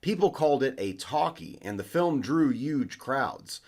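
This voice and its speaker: Animated American man explaining history. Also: medium pitch, measured pace. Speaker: host of educational history videos. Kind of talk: scripted educational narration.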